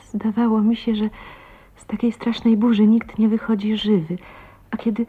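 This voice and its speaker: An actress playing a shy, tender, anxious young wife in a radio drama, speaking in a breathy, hesitant, intimate way. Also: female voice, fairly high pitch, flat delivery, moderate pace. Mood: relieved, shaken. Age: young adult. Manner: breathy, hesitant, emotive, often half-whispered. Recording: dull, muffled old radio recording.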